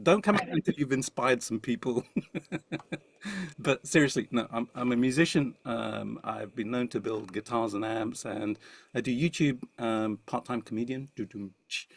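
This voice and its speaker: A man's voice: mid-range voice, gravelly, no room sound. A man co-presenting a webinar over a video call, jokey and self-deprecating, rambling with many fillers. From Indian heritage. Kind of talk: joking webinar talk.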